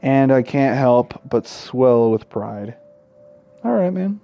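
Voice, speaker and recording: A man's voice: mid-range voice, very animated, slow, gravelly, dull audio. A man hosting a gaming video, reading visual novel text aloud and adding casual, irreverent commentary. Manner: irreverent, sweary heckling between read passages.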